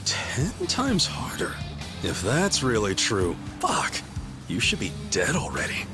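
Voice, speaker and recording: A brash, wisecracking young yakuza buddy in a game dub. His pitch swings widely, though he talks at an unhurried pace. He is teasing and excitable and swears freely, with game music playing clearly behind him. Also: exaggerated voice. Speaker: game character. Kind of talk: English video game dub. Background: game soundtrack music.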